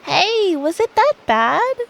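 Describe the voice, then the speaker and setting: A woman playing a sweet, caring, teasing girlfriend in an intimate ASMR roleplay, talking softly and breathily. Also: very high-pitched, very animated, full character voice. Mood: playful, mock hurt. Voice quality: soft, breathy. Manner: slow, affectionate, playfully teasing. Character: sweet, teasing, caring girlfriend telling an improvised bedtime story.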